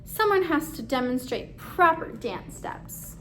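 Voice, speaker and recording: A woman voicing a meddling, self-important and excitable storybook narrator in a pompous, theatrical manner. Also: high-pitched, measured pace, slight background noise. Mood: flattered, coy. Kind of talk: children's picture book read-aloud.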